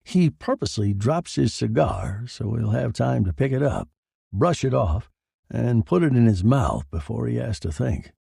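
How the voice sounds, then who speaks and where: A man's voice: medium pitch, animated, normal speed, creaky, mellow, slightly rough, dry recording, clean studio sound. A man giving a brooding, theatrical first-person narration of a scripted story.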